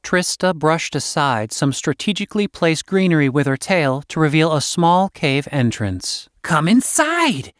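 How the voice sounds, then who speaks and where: A man's voice: fairly high pitch, lively intonation, moderate pace, few pauses, clear, resonant tone, dry recording, studio quality. A man reading a fantasy story as scripted narration, as an audiobook reader.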